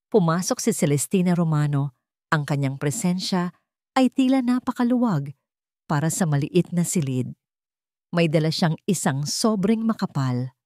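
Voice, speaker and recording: Female storyteller, low register, scripted dramatic narration, very dry recording. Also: darkish tone, no echo.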